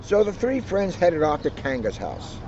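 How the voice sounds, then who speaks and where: A man reading a children's storybook aloud in an animated way, with casual asides. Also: sits high, normal speed. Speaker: police officer reading a children's book aloud. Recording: noisy, muffled recording.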